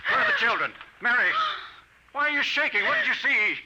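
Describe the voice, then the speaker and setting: High-voiced, sensible American father. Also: expressive, fairly quick, dull audio. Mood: alarmed, exasperated. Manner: emphatic, exasperated, theatrical. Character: sensible, world-weary suburban father, increasingly baffled. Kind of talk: 1950s radio drama acting. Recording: very noisy old radio recording.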